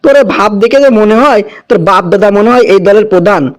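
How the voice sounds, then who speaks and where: A man's voice: high voice, fast, close to an everyday voice. A man playing an indignant village uncle in a cartoon, hectoring in a Kushtia accent from Bangladesh. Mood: angry scolding. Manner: hectoring, rhetorical scolding. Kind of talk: animated cartoon dialogue.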